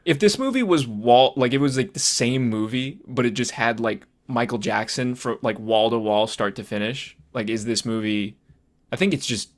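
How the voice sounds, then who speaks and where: A man co-hosting a podcast, discussing films casually in a halting, repetitive, conversational way. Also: medium pitch. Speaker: podcast host.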